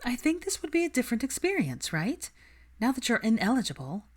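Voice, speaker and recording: A woman's voice: on the high side, huge pitch swings, brisk, a little mellow, voice pushed up. A woman voicing an earnest, thoughtful young woman in a story, reasoning and questioning persuasively. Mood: gently insistent.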